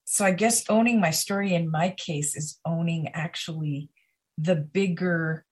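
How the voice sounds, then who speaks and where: Woman guest on a podcast, husky low voice, talks real slow and intimate. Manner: slow, emphatic and intimate, with pauses. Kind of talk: conversational podcast interview.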